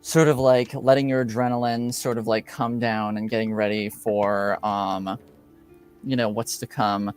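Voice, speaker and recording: A man runs a tabletop roleplaying game as game master, with a smooth, clear voice. He narrates slowly and hesitantly, assembling each scene piece by piece in improvised fragments. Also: on the low side, flat delivery, darkish tone, faint music behind. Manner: halting, hesitant, piecing scenes together in fragments.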